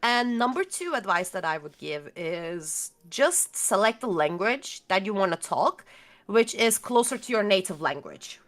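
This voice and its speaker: A woman's voice: mid-range voice, sing-song, unhurried. A young woman with a Turkish accent, a guest chatting casually, rambling and hunting for words with many fillers. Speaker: interview guest. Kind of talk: casual video-call chat.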